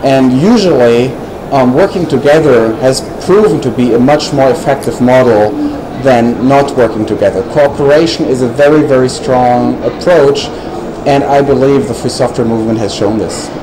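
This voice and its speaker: A man on a panel replying at length to an audience question in a measured way, with frequent fillers. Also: mid-range voice. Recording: noisy recording.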